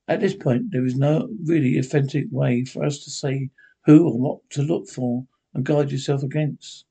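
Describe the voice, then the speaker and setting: A man reading an emergency radio news bulletin in a measured, formal way. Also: mid-range voice, mellow, husky, poor audio.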